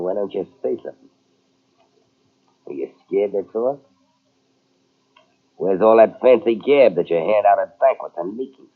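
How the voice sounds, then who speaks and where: An actor playing a vengeful, brutish escaped-convict gangster, with tough, slangy, threatening talk in old radio crime drama style. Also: male voice, fairly low pitch, phone-quality sound. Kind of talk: 1930s-40s radio crime drama acting. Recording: old radio drama on cassette, band-limited.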